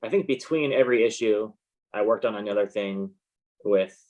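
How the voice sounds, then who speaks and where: Laid back guy, slightly low voice, rambles along casually as a guest in an interview. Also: moderate pace, a little mellow. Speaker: interview guest, comic book artist. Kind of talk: casual chat.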